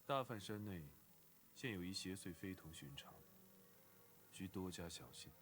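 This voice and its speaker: A dignified noble cultivator in an animated fantasy drama. The voice is fairly low and grave, with formal, measured and terse phrasing. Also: male voice. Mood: grave, calm.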